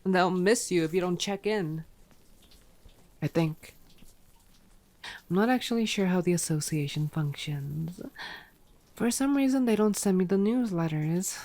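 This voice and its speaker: A woman's voice: lowish voice, expressive, measured pace, pauses often, dark tone. Sardonic, teasing vampire woman, acted out by a woman. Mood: incredulous, teasing. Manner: sarcastic, teasing, swearing. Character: teasing, sardonic female vampire, playful and exasperated. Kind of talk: ASMR roleplay audio drama.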